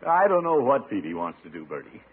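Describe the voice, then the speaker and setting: Blustery middle-aged American uncle, pompous and fussy, highly animated delivery, muffled vintage radio sound. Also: male voice, brisk, breathy edge, exaggerated voice. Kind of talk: 1940s-50s radio comedy acting.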